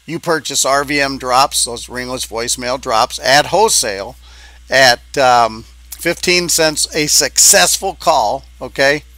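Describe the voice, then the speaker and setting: Man, business coach, informal sales pitch, forceful bright tone, conversational and halting, dry sound. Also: no echo. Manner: halting, conversational, checking in with "okay?".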